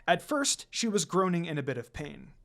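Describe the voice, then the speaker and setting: Guy w slightly high voice reading fanfic out loud, expressive narration. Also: moderate pace. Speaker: fan fiction reader.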